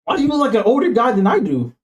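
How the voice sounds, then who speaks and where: Laughing, animated young man streamer. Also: very high-pitched, racing. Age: 20s. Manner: loose, joking, laughs a lot, slangy. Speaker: streamer reacting to videos. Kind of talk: casual stream commentary.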